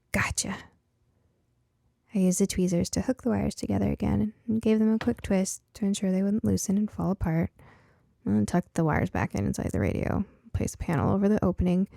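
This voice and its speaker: A woman's voice: medium pitch, gravelly, dark tone, dry recording, studio quality. A woman reading scripted first-person story narration for an audiobook.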